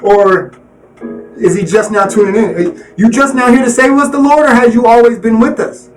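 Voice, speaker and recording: A man's voice: extremely high pitch, normal speed, distant mic, soft background music, poor audio. A man hosting a live stream, chatting casually in slang and repeating "you know what I'm saying.". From Colorado.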